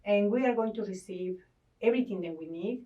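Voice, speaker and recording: Woman, from Ecuador, earnest, halting, mid pitch, distant mic. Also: warm tone, highs cut off. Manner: earnest, halting, searches for words. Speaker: guest. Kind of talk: spiritual conversation.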